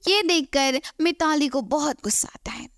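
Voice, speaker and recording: महिला कथावाचक, सूखी साफ़ स्टूडियो रिकॉर्डिंग. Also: female voice, high-pitched, normal speed, a bit bright, no echo, clean studio sound. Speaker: storyteller. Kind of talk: scripted narration of a moral bedtime story.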